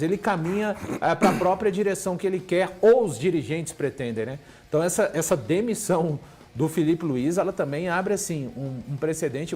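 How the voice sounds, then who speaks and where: Brief: male radio sports commentator, thoughtful and halting, fairly high voice. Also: darkish tone. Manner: measured, hesitant, many fillers. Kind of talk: analytical commentary.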